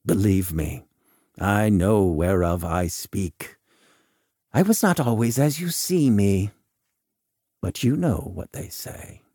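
A man's voice: lowish voice, slow pace, pauses often, mellow, no room sound, studio quality. A man voicing an eccentric, coolly mocking doctor in an audiobook, with wordy, formal, theatrical delivery. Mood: wry, cautioning. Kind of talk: audiobook character dialogue.

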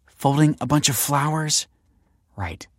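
A male narrator plays a grown daughter in an audiobook drama, exasperated and scornful. The delivery is sarcastic and impatient, lifted above the reader's own pitch. The sound is dry and close. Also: lively intonation, normal speed, dry recording, natural voice.

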